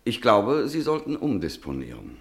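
An actor playing a cold, curt, dismissive head clerk of a trading firm in a German radio play. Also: male voice, fairly low pitch, huge pitch swings, moderate pace. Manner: curt, officious.

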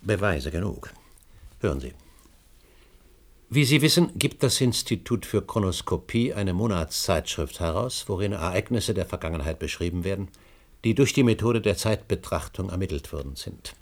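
An actor in a German radio play, playing a driven, imperious middle-aged scholar who suspects conspiracies and speaks in emphatic, deliberate phrases. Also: male voice, sits low, animated. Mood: insistent. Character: driven, conspiracy-minded older male history scholar, insistent and imperious.

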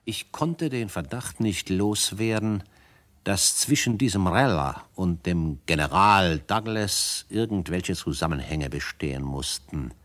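An actor playing a reflective frontier adventurer who narrates a western radio drama in a measured, pensive manner. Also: male voice, on the low side. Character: reflective male frontier adventurer telling his story. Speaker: first-person narrator.

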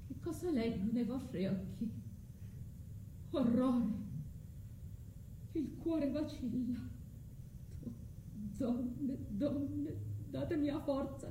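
An actress playing a vengeful, tormented foreign sorceress, delivering an intense, declamatory stage monologue in a radio drama. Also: female voice, high voice, mellow, a little hiss or hum. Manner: declamatory, intense, slow and drawn out. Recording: echoey room with music underneath.

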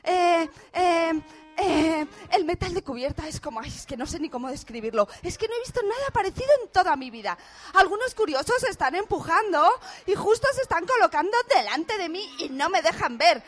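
Woman playing very high-pitched, flustered lady reporter. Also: big pitch swings, fairly quick. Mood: frantic. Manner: breathless exclamations, stammering, exasperated repetition. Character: flustered, excitable young lady radio field reporter. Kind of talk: radio theatre parody of live news report.

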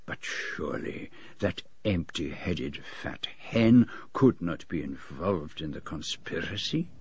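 A polite, watchful foreign detective of middle age in a radio drama. His voice is low and a little veiled, recorded very dry. He speaks in measured, formal and precise phrases, as if carefully weighing each observation. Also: animated, gravelly, darkish tone, husky, dry recording, full character voice. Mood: reflective, intrigued. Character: courteous, observant middle-aged foreign detective narrating his own case. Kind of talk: BBC radio drama dialogue and first-person narration.

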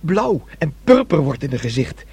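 Eager, husky young dreamer. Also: male voice, on the high side, sing-song, normal speed, a little mellow, no room sound, heavily acted. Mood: teasing. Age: young adult. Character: naive, excitable young dreamer, boyish and eager to please. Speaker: actor. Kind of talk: radio drama acting.

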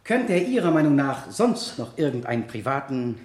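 An actor playing a relentless, aggressive prosecutor in a courtroom radio drama, pressing with theatrical rhetoric. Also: male voice, mid-range voice, big pitch swings, moderate pace, lightly acted. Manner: forceful rhetoric, pressing questions. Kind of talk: 1970 German courtroom radio drama acting. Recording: echoey room, 1970 radio production.

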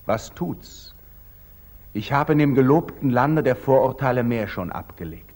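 An actor playing a passionate young Knight Templar in intense, introspective declamation, in the style of 1940s radio drama. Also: male voice, medium pitch, a little mellow, slightly rough, heavily acted. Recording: aged 1940s radio recording.

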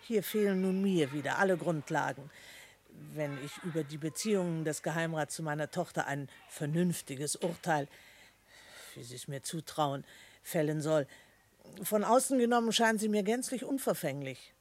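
A woman's voice: sits low, normal speed, a little mellow. An actress playing a sensible, guarded middle-aged mother in a radio play, speaking in a composed, formal and reserved manner. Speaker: actor. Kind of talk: German radio play acting.

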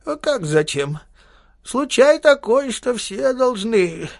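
A man's voice: very high voice, sing-song, slow, vocal fry, no room sound, clean studio sound, voice pushed up, full character voice. A man voicing a grey, sly old man who speaks in a sugary, wheedling voice. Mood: insistent.

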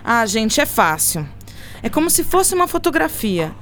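A woman playing a caring, patient aunt in a children's audio drama, expressive and explanatory. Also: fairly high pitch, normal speed, a little hiss or hum. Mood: explaining.

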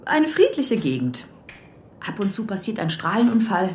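A woman's voice: medium pitch, sing-song, moderate pace, a little mellow, echoey room, a little hiss or hum, close to an everyday voice. A woman playing a cheeky, persistent young police detective assistant in a crime radio drama. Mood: provocative, probing. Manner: provocative, pointed questioning.

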